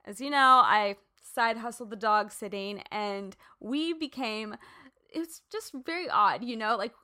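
A woman's voice: fairly high pitch, unhurried, sharp tone. A woman hosting an interview show, talking in a casual, chatty and rambling way. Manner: chatty, rambling, lots of "like" and "you know". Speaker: podcast host.

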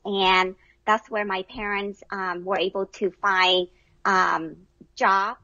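A woman's voice: medium pitch, fairly bright tone, no room sound, dull audio. A middle-aged woman from Vietnam, answering casually as an interview guest. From Vietnam, moved to the US at eleven.

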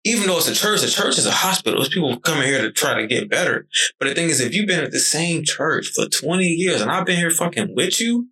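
A man's voice: fairly high pitch, lively intonation, fast talker, pushed and bright, rough recording. A young man in his twenties talking casually and animatedly on a podcast, swearing freely as he tells stories. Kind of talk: casual conversation.

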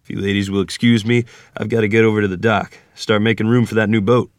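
An actor plays an easygoing 1920s country ferryman, a devoted young husband. His voice is a little low and recorded dry. He keeps a casual, understated delivery in a drama table read. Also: little pitch movement, fast, dry recording, natural voice.

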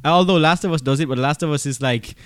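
A man's voice: fairly high pitch, fast talker, fairly bright tone, clean tone, dry recording. A man co-hosting a film podcast, chatting casually. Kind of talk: casual chat.

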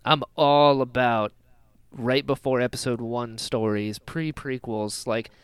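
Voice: mid-range voice, fairly even intonation, slow pace, smooth, no echo. A podcast host chatting casually about pop culture, animatedly slipping into imagined dialogue.

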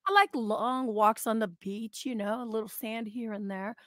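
A woman's voice: on the high side, steady tone, normal speed, no echo, studio quality. A middle-aged American woman from Oregon, a podcast guest chatting casually in a giggly, joking manner. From Oregon, United States. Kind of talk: casual chat.

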